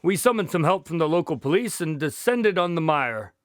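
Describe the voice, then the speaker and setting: High-voiced, formal doctor played by a man. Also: expressive, a little fast, no echo, clean studio sound, lightly acted. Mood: composed recounting. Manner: formal, precise phrasing. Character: Doctor Watson, measured, courteous male doctor recounting an investigation. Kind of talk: radio drama dialogue and storytelling.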